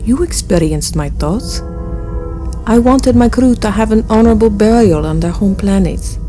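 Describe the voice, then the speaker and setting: A woman playing the gentle, sorrowful ghost of an honorable alien officer in a sci-fi audio drama, earnest and solemn. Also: medium pitch, animated, normal speed, faint music behind, natural voice. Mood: pleading.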